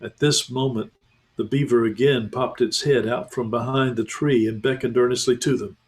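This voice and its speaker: A man, a pastor, reading a children's novel aloud in a calm, measured way. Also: mid-range voice. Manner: calm, measured, slows for tension. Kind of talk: storybook read-aloud.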